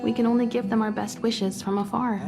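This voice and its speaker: Game role: kindly, poised woman mentor to space travellers, reassuring, measured delivery over clear background music. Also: fairly high pitch, fairly even intonation. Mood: calm, explaining. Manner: poised, reassuring, unhurried explaining. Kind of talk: video game voice acting, measured dialogue. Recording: background music under studio voice.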